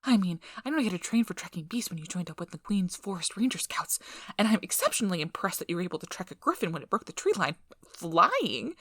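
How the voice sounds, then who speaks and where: A woman's voice: fairly low pitch, sing-song, quick pace, fairly bright tone, no room sound, studio quality, full character voice. A woman playing a nervous, eager researcher in an ASMR roleplay, rambling in a flustered, apologetic way. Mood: impressed, flustered. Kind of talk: ASMR roleplay audio drama.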